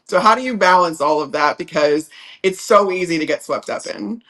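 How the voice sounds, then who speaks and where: A woman's voice: on the low side, normal speed, fairly bright tone. A woman hosting a sports show, speaking in an earnest, effusive conversational style as she interviews a guest.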